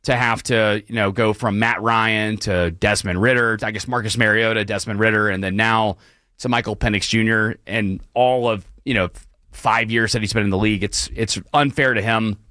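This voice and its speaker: A hoarse young man hosting a late-night talk radio show, apologizing and clearing his throat as his voice gives out. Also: on the low side, steady tone, normal speed, pushed and bright, no room sound, clean studio sound. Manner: apologetic, clears throat often, many restarts. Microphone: studio broadcast mic.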